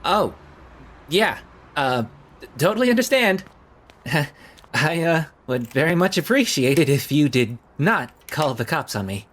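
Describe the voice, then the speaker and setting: Actor as an awkward, overeager young man, swooping pitch. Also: big pitch swings, measured pace, a bit bright, slight background noise. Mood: flustered. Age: young adult. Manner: hesitant, stammering, rambling. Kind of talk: audio drama acting.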